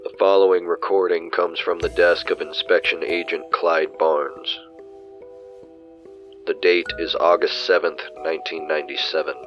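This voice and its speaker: Male actor as a officious government inspector, low voice, measured delivery, audio drama, dull lo-fi tape, music behind. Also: little pitch movement, natural voice. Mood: grave, official. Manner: formal, measured, deliberate. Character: 1990s government inspection agent, calm, officious, reporting and interviewing. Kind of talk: scripted audio drama, dictated report.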